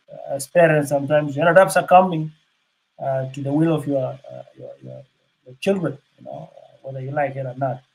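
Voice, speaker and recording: An older man from South Sudan giving unscripted panel remarks, halting, with many fillers and restarts. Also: medium pitch, vocal fry, a little mellow, slightly rough, poor audio.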